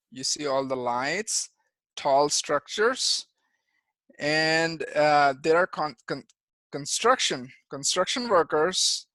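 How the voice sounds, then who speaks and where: A man in his thirties from India, an engineer giving a career-day talk to children over a video call, explaining things simply with frequent pauses and restarts. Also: fairly high pitch, a bit bright, dry recording. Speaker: guest engineer and project manager. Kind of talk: presentation for young children.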